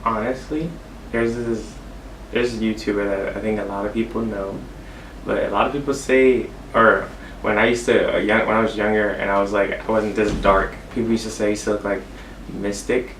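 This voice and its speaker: A man's voice: on the low side, steady tone, moderate pace, fairly bright tone, roomy sound, far from the mic, a little hiss or hum, rough recording. A young man from California, co-hosting a casual vlog, answering questions in an easygoing, rambling way. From Inglewood, California. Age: young adult. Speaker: vlogger co-host. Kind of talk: casual Q and A chat.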